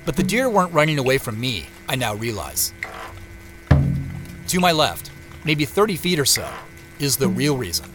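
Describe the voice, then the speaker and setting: A man as an American wanderer, lively pitch, over music. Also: natural voice, rough recording. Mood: quietly tense. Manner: measured storytelling with muttered casual asides. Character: male solitary traveler, reflective, wryly talking to himself. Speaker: narrator and lead character. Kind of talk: first-person audio drama narration. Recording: music behind the voice.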